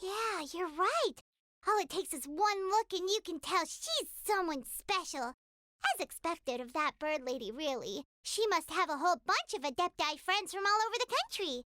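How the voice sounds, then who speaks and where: A woman's voice: very high voice, expressive, moderate pace, pushed and bright, no room sound, studio quality, exaggerated voice. A woman voicing a tiny chatty female fairy companion who is cheerful and bossy and talks about herself in the third person, in game cutscene dialogue. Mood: chirpy. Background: background music.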